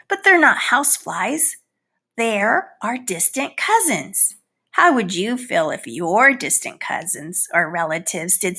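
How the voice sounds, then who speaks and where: A woman's voice: medium pitch, pushed and bright, voice pushed down, heavily acted. A woman voicing a proud, easily hurt housefly in a storybook, with theatrical, pleading and boastful delivery. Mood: indignant. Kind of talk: storybook read-aloud in character.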